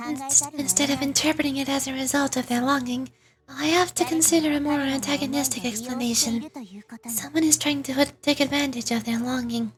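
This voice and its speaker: Woman voicing a high, earnest young goddess. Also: fairly even intonation, fairly quick, close to an everyday voice. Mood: thoughtful. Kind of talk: dramatic read-aloud of game dialogue.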